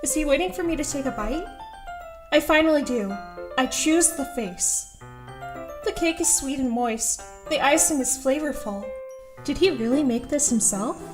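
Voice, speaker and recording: A woman's voice: high-pitched, normal speed, over music, lightly acted. A woman playing an awkward, earnest teenage schoolgirl who narrates her thoughts in an audio drama, a little hesitant. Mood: observant.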